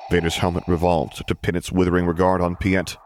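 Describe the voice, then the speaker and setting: A man's voice: low voice, monotone, brisk, darkish tone, slight background noise. A man reading a story aloud as dramatic, scripted audiobook narration.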